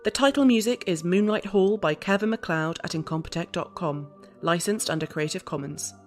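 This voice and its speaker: A woman's voice: lowish voice, fairly quick. A woman reading scripted closing credits as the announcer of an audio drama. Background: music.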